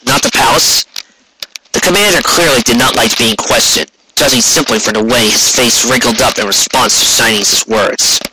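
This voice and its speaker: A man's voice: medium pitch, moderate pace, few pauses, pushed and bright, rough recording. A man reading scripted story narration on an amateur recording with noise and clicks. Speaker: audiobook reader. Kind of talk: scripted fan fiction narration.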